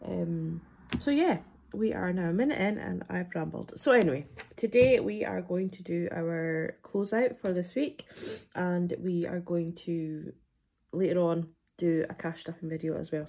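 A Scottish woman with a low, husky, gravelly voice chats at a kitchen table, rambling through her week in an easy, friendly way. She sounds chesty, as if a cold is coming on, and fills her talk with ums and restarts. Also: dark tone. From Scotland. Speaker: budgeting vlogger. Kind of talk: casual chatty to-camera talk. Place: at a wooden table indoors.

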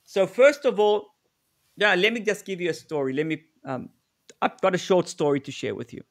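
A man's voice: sits high, normal speed. A middle-aged man from South Africa presenting a live webinar over a video call, talking conversationally, repeating words as he thinks and acting out sample exchanges. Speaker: negotiation consultant presenting a webinar.

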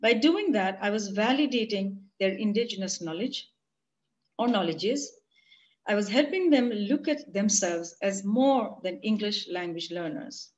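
A woman in her forties with an Indian accent, giving a prepared academic conference talk in a measured, earnest way over a video call. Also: mid-range voice, animated, slight background noise. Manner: measured, earnest, read from notes. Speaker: conference presenter. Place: home room, video call. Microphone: computer mic on a video call. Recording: muffled lo-fi video call audio.

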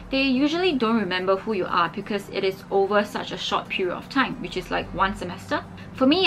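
Woman explaining steps, slightly high. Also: fairly bright tone, a little hiss or hum, low-quality recording. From Singapore. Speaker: scholarship advice vlogger. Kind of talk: scripted explainer to camera. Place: indoors against a plain wall.

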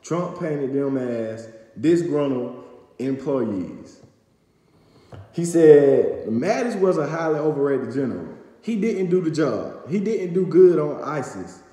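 A man's voice: a little high, expressive, takes it slowly, mellow. A young man recapping news to camera, reading aloud with stumbles and fillers, in a small room at home on a phone camera. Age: young adult. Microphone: phone camera at arm's length. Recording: echoey room with long reverb.

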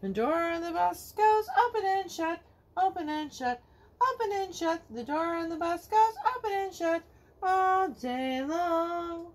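A middle-aged woman reading a children's picture book aloud to kids at home, slowly singing the verses in a sing-song way. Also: extremely high pitch, lively intonation, a little hiss or hum. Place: home living room, indoors.

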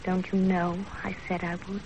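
An actress playing a dutiful young Japanese wife in a 1930s radio drama, plain-spoken and firm. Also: female voice, lowish voice, monotone, normal speed, muffled recording, lightly acted. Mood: firm, devoted. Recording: noisy 1930s radio broadcast.

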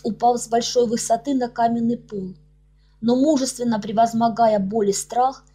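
A woman's voice: fairly high pitch, warm tone, breathy edge. A woman reading her own fairy-tale audiobook as a scripted narration. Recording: music under the voice. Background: background music.

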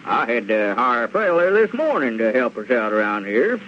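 An actor playing a coaxing, smooth-talking rural circus manager with a Southern US accent, in old radio comedy style. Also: male voice, medium pitch, big pitch swings, close to an everyday voice. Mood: reluctant, scheming. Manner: folksy, persuasive, halting with "well, now". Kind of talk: 1930s-40s radio comedy acting. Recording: muffled, noisy vintage radio recording.

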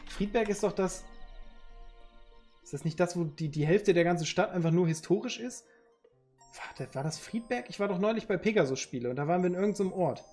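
A man in his thirties chats loosely with his viewers, joking in slang as he reads their messages aloud. His voice sits a little high for a man. A headset mic picks him up in a room at home, with faint music behind. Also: darkish tone, breathy edge. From Northern Germany. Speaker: streamer. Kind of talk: casual chat with viewers.

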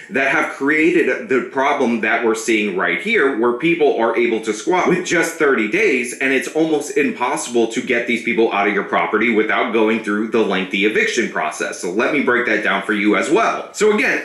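A man delivers scripted political commentary with a sharp, scornful edge. His voice is bright and lively in pitch, and the sound carries a roomy echo. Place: small room with a brick wall. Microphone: studio mic on a stand.

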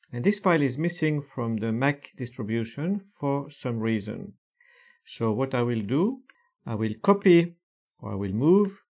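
A man calmly walking through software steps one by one in a screen-recorded tutorial, with frequent hesitations. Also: mid-range voice. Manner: slow, methodical step-by-step explanation with frequent fillers.

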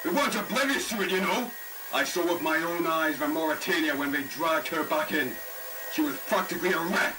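A man's voice: a little high, brisk, noisy background, natural voice. A man playing an anguished young ship survivor held captive, pleading and agitated in an analog horror film. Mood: haunted.

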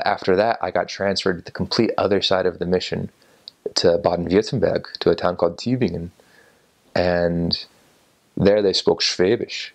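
A young man in his twenties with a low, gravelly voice, full of fry. He talks with an interviewer in a relaxed, thoughtful manner and often pauses and restarts. He is seated indoors in an armchair by a window. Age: 20s. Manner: thoughtful, with many fillers and restarts. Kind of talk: relaxed recollection to an off-camera interviewer.

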